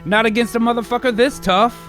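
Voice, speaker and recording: A man hosting and narrating an improvised radio drama, mixing excited narration with casual, profane, exuberant chat. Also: very high voice, fast, faint music behind.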